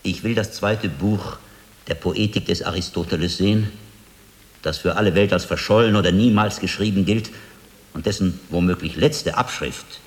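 An actor playing a shrewd, learned monk investigator in a German radio drama, speaking in a deliberate, insistent, articulate way. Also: male voice, deep voice. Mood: insistent, firm. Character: shrewd, learned middle-aged monk investigator, William of Baskerville. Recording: long hall reverb, background noise.

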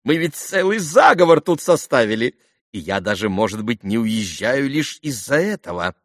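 A man voicing a gushing, excitable society lady, a fussing mother who talks in effusive, melodramatic repetitions, in an audiobook novel. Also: medium pitch, moderate pace, no echo, studio quality. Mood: gushing, confiding. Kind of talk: audiobook classic novel dialogue.

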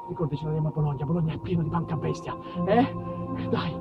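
A man's voice: a little high, rapid-fire, gravelly, highs cut off, over loud music. A man acting a desperate young man pleading with a dying friend, urgent and frantically repeating his words. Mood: tearful desperate coaxing.

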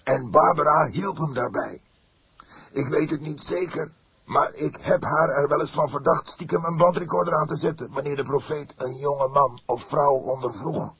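Fervent, husky, high-strained clergyman. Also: steady tone, fairly bright tone, heavily acted. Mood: indignant. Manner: emphatic, deliberate, formal phrasing. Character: fierce, pained elderly pastor, indignant and solemn. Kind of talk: audiobook character voice.

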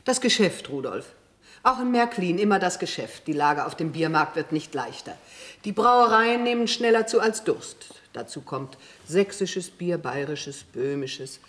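Dutiful brewery businesswoman, unsentimental, dry delivery, animated intonation, radio drama. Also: on the low side, measured pace. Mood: brisk, businesslike. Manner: matter-of-fact, unsentimental, dry. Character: brisk, dutiful businesswoman running a family brewery. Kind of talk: German radio play acting.

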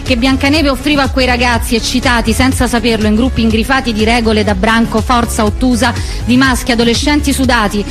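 A woman delivering an intense, declamatory theatrical monologue as a stage performer. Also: sits high. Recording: music under the voice. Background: music.

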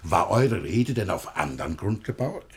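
A man playing an earnest gentleman in a classical verse comedy, gravelly and husky, with emphatic declamation. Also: sits low, sing-song, a little slow, exaggerated voice. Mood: emphatic, puzzled.

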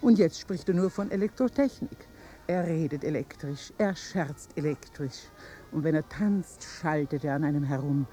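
An actress playing a capricious, excitable, flirtatious high-society lady in a theatrical, coquettish 1950s German radio drama style. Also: moderate pace, lightly acted. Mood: enraptured.

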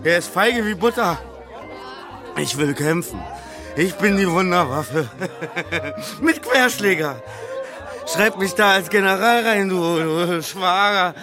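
Cocky, high-voiced teenage lad. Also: male voice, animated, slow, long pauses, over music, a crowd in the background. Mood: sarcastic, defiant. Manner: sneering, cocky. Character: reckless, sarcastic seventeen-year-old farm lad, bitterly defiant. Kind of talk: German radio drama acting.

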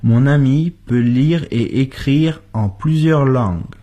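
A husky male voice, speaking very slowly and deliberately. He says each practice sentence for learners, then repeats it word for word. The pace is unhurried, like a drill on a radio lesson. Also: medium pitch, dark tone. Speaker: co-host of a language lesson. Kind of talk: slow model sentences for learners.

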